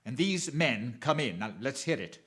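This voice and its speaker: A man in a radio drama playing a criminal mastermind who gives orders with deliberate, formal politeness and quiet menace. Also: medium pitch, lively intonation, background noise, natural voice. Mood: menacing command. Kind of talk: theatrical radio drama acting.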